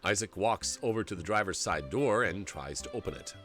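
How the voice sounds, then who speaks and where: A man in his forties streams a story game, reading the narration aloud and rambling between lines. He jokes and laughs a lot, and his pitch swings widely. Faint game music sits behind him. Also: brisk. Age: 40s. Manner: animated and jokey, laughs often, rambles.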